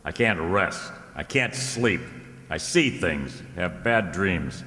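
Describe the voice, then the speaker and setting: A man's voice: sits low, lively intonation, slow pace, bright, forceful tone, exaggerated voice. A man acting a wry, tough private detective in an old-time radio drama, with a sardonic, wisecracking delivery. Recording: hall-like reverberant radio play recording.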